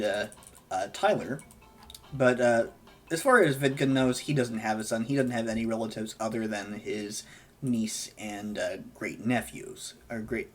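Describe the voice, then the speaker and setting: A man casually narrating his life-sim game as a gaming video host, rambling with many fillers and self-corrections, his voice hoarse and going. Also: mid-range voice. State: losing his voice. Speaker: gaming YouTuber.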